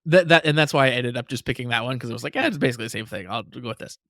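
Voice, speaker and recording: Laughing man, mid-pitched, dry sound. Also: rapid-fire, a bit bright, no echo. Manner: self-deprecating, laughs often, stammers. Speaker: podcast co-host. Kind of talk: casual chat.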